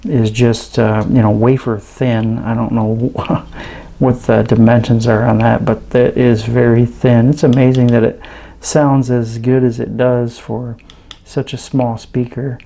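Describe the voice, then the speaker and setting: Mellow, creaky-voiced man thinking aloud. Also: medium pitch, flat delivery, unhurried. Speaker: host of an electronics teardown channel. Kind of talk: casual hands-on demonstration commentary.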